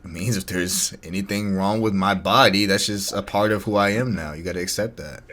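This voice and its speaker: A man's voice: low voice, a little fast, a bit bright. A man in his twenties, co-hosting a casual podcast chat, joking about himself and laughing often. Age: 20s. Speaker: podcast co-host.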